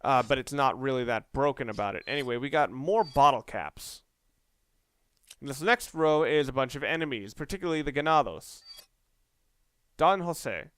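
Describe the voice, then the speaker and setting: A man's voice: mid-range voice, lively intonation, normal speed, clean tone, no room sound. A man giving casual, off-the-cuff video game commentary, rambling and often rephrasing himself mid-sentence. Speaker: let's play commentator. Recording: loud game music and gunfire behind. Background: video game music and gunshots.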